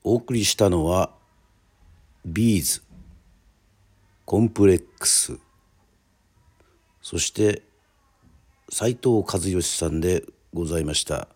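A man hosting and narrating a radio drama, speaking in polite, formal, measured storyteller phrasing. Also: sits low, darkish tone, slightly rough.

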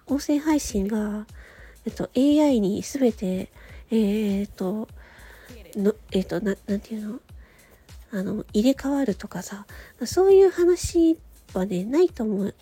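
Female solo radio host; high-pitched, mellow, breathy delivery, unscripted and conversational. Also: no echo. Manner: conversational, thinking aloud, frequent soft agreeing particles. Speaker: host of a solo audio show. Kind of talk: casual monologue on a chosen theme.

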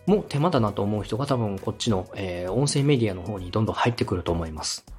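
A man's voice: lowish voice, lively intonation, rapid-fire, a little mellow, soft background music. A man in his thirties from Osaka, hosting a podcast and giving polite, encouraging advice in a conversational style. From Osaka, Japan. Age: 30s. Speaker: podcast host and radio director.

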